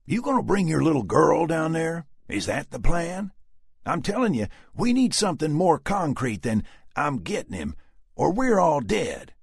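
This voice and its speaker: Dallas is a hard-bitten southern survivor with a slow, sneering Southern US drawl, as a male narrator puts him on. His pitch climbs well above the reader's own low voice, and a gravelly edge clings to every word. Each line sounds blunt and sardonic. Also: very animated, no echo, clean studio sound, heavily acted. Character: gruff southern man, tough, sardonic.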